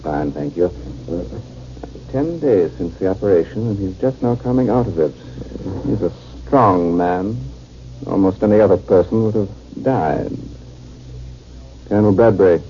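A man in a 1950s radio drama, playing a calm, commanding military surgeon who gives clipped instructions. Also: low-pitched, unhurried, pauses often. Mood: calm, attentive. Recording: muffled, noisy 1950s radio recording.

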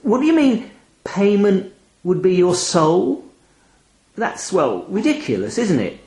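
A wry, disbelieving everyman played by a man questions things with sardonic hesitation. His pitch jumps about in an animated way, and the storybook reading sounds roomy and echoing. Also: slow pace, heavily acted. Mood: incredulous, brazen. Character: wry, incredulous male narrator-hero confronting a talking raven. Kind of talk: audio short story character dialogue.

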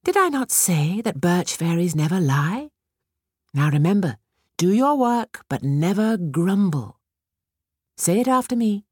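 A woman voicing a graceful, playful female forest fairy in a storybook, soft and coaxing, sometimes whispered. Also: lowish voice, sing-song, unhurried, breathy edge, no echo, studio quality, voice pushed up, full character voice. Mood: gently stern.

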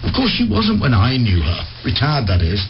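An actor playing a chatty, deferential police sergeant with a rambling, formal manner in a radio play. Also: male voice, mid-range voice, wildly swooping pitch, normal speed. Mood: deferential, eager. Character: deferential, chatty male police sergeant, loyal ex-navy man. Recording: noisy, muffled radio play recording.

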